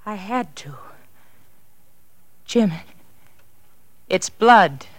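A woman's voice: mid-range voice, lively intonation, extremely slow, over loud music. A woman acting a frightened, fragile wife in a 1940s radio drama, hesitant and repeating her words. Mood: dread. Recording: noisy 1940s radio recording.

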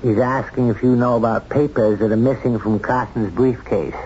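On a muffled old radio recording with faint noise, an actor plays a kindly small-town sheriff. He is plain and earnest, with a mid-pitched male voice in vintage drama style. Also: steady tone, moderate pace, a little mellow, lightly acted. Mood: concerned. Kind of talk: 1970s radio drama acting.

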